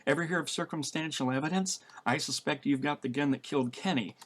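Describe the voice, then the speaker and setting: Audiobook role: male reader as hard-edged fugitive woman, sharp, sarcastic, short on patience. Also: a little high, fairly quick, pitched above the performer's own voice. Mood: urgent, sarcastic. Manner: blunt, sarcastic. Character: sharp, exasperated, tough-talking woman on the run.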